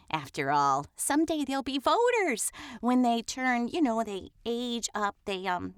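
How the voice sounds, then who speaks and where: A woman's voice: on the high side, a bit bright, no room sound, clean studio sound. A woman playing an eager, dim female education secretary in a comedy, earnest and bumbling as she trails off. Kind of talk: satirical audio comedy acting.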